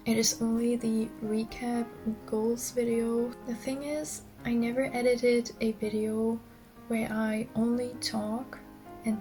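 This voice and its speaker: Soft-spoken raspy woman vlogger. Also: fairly high pitch. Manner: soft-spoken, often whispering. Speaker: clay artist vlogger. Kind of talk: casual vlog narration.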